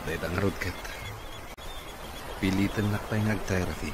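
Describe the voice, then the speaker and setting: Actor as deep-voiced gloomy male boss. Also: normal speed, natural voice. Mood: glum, suspicious. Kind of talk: Ilocano radio comedy-drama acting. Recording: noisy radio drama mix.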